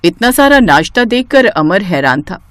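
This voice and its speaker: A woman narrating a scripted story in an expressive storytelling manner. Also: medium pitch, normal speed, no echo.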